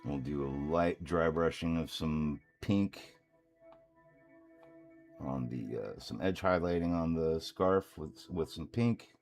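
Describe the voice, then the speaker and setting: A man's voice: a bass voice, slow, faint music behind. A man talking casually and rambling while he paints, with frequent chuckles. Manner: relaxed, rambling, chuckles often. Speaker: miniature painter and streamer.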